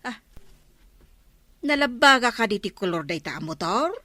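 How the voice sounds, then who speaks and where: An actress playing an excitable, evasive village gossip with an Ilocano accent in a radio comedy drama, full of exclamations. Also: female voice, on the high side, normal speed, dry recording. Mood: gossipy.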